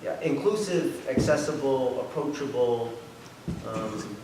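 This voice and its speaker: A man's voice: medium pitch, animated, slow. Hesitant man in a very echoey hall. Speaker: theater project member. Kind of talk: question to an audience. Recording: echoey hall, distant mic, noisy.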